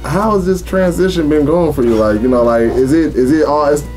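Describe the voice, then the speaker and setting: A man's voice: a little high, brisk, darkish tone, faint music behind, low-quality recording. A man in his thirties hosting an interview in a studio, asking questions in a hesitant, stammering way with many fillers. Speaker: interview host. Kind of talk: casual interview. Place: small recording studio room.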